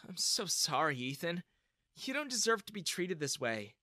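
Dude doing a fiery young woman, high lively voice, earnest. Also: moderate pace, dry recording, studio quality, exaggerated voice. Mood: tender. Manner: indignant, earnest. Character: spirited young woman, protective and outspoken.

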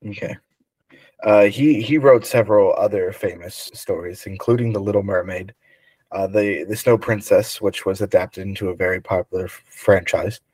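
A man with a slightly low voice runs a casual podcast quiz. He explains things in a measured, conversational way. The recording is dry, with no room sound. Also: fairly even intonation, a little mellow, slightly rough, no room sound, low-quality recording. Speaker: podcast co-host, quizmaster.

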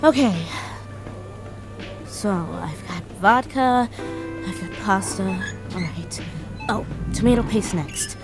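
A grieving thirtysomething woman, halting n self-deprecating, slow, natural audio drama style, music behind. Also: mid-range voice, animated. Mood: muttering, distracted. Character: grieving, anxious woman in her thirties, wry with friends.

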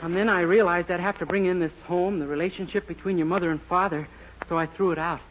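A man's voice: sits high, steady tone, quick pace, natural voice. An American man playing a naive, cheerful young small-town clerk in 1940s radio comedy style, with a boyish, chirpy manner. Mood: eager. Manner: boyish, chirpy, self-pleased. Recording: muffled, noisy 1940s radio recording.

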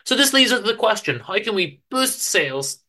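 A man's voice: high voice, very animated, a little fast, sharp tone. A man narrating a scripted story dramatically, with a theatrical delivery.

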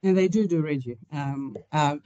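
Low-voiced woman interviewer, halting delivery. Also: dark tone, no echo, muffled recording. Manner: hesitant, halting phrasing. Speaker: podcast interviewer. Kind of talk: conversational interview.

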